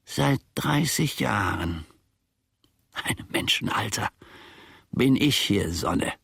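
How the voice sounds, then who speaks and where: An older male actor playing a grumbling, self-important old servant in a German radio play, musing deliberately to himself with a gravelly, husky voice, often sinking to a murmur. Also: lowish voice, expressive, a bit bright, no room sound, studio quality, exaggerated voice. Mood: wry musing.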